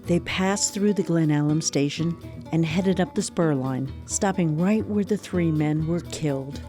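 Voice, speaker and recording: A woman narrating a scripted radio drama in a measured storytelling manner. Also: low-pitched, music in the background.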